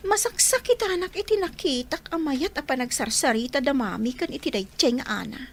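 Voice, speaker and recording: A very high-pitched, sulky Ilocano schoolgirl. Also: expressive, brisk, a little hiss or hum. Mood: defiant, scheming. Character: spoiled, sulky schoolgirl daughter, tattling and defiant. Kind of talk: Filipino radio drama acting.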